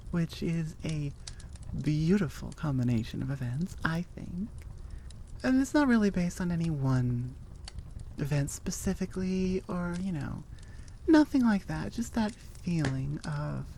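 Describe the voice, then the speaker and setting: A woman's voice: lowish voice, dark tone, slight background noise. A woman audio creator chatting casually in an outro, rambling along with coy understatement.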